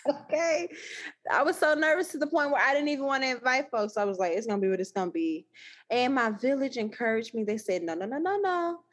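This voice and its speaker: Animated woman with a thick Texas accent. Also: sits high, a little fast. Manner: animated, emphatic, laughs often. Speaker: guest, new scholar. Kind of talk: casual podcast conversation.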